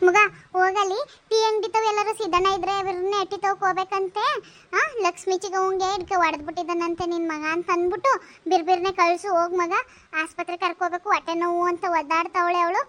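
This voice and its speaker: A woman's voice: extremely high pitch, level tone, quick pace, a bit bright. A woman playing a bossy, loyal village neighbour in a rural melodrama, full of exclamations and scolding. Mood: worried, urgent.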